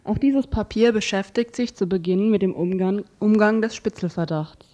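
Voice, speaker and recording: A woman's voice: mid-range voice, normal speed, mellow, dull audio. A woman presenting a scripted radio report and introducing quotations.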